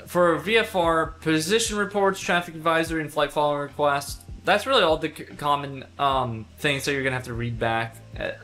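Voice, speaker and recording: A man's voice: fairly high pitch, steady tone, brisk, few pauses, pushed and bright, faint music behind. A young man giving a casual, conversational instructional talk for a video, stressing his key points in an informal way. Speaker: instructor, video host and pilot.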